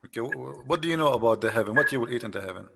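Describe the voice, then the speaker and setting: A man's voice: mid-range voice, expressive, racing, vocal fry, fairly bright tone, husky, big-room sound. A man whose first language is Arabic, hosting a debate and pressing his opponent with mocking questions. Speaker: debate host. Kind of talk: live debate call.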